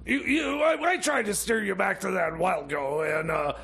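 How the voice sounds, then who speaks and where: A man playing a cranky, rambling time machine mechanic in a comedy radio skit, stammering and repeating himself in a raspy, strained voice. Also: a little fast, bright, forceful tone, heavily acted. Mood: flustered. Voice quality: raspy, strained, high-pitched. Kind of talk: improvised comedy radio theater.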